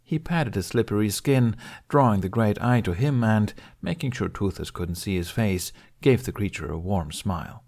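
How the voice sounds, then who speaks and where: Man, low voice, audiobook narrator, scripted storytelling, fantasy fiction. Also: expressive, moderate pace, creaky, few pauses, a little mellow.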